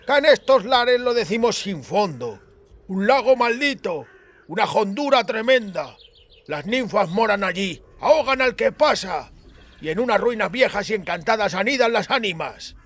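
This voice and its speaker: A man's voice: high voice, animated, normal speed, a bit bright, voice pushed up. A man voicing a wary, frightened peasant fisherman with a rustic way of clipping his words. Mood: ominous. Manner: rustic dialect with clipped word endings.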